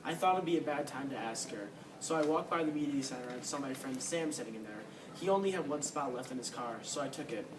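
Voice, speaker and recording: A man's voice: medium pitch, lively intonation, quick pace, hardly any pauses, natural voice. A man playing a laid-back student witness casually recounting his alibi. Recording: echoey, noisy room, distant mic.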